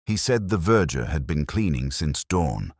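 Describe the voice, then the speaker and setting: Very deep, husky man narrating a mystery. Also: sing-song, dry recording, studio quality. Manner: measured, literary, brooding. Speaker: narrator of a detective story. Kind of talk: first-person scripted mystery narration.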